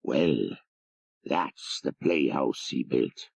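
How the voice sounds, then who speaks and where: A man's voice: a bass voice, lively intonation, extremely slow, creaky, dry recording, voice pushed down, exaggerated voice. A man voicing a deferential, folksy Irish country driver telling local tales in an audiobook. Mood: deferential, chatty. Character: rural Irish jarvey driver, deferential, gossipy storyteller. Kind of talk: audiobook character reading.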